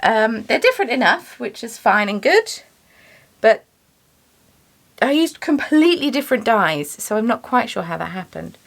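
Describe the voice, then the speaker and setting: A woman's voice: fairly high pitch, very animated, moderate pace, creaky. A young woman talking through her craft work in a rambling, self-deprecating, chatty way. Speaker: yarn dyer and small business owner. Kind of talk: casual chatty vlog voice-over. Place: home craft studio.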